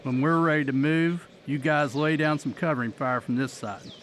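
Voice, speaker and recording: An actor with an American accent playing a steady, tactical former army colonel in a radio drama. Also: male voice, fairly high pitch, normal speed, a little hiss or hum, natural voice. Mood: calm, decisive.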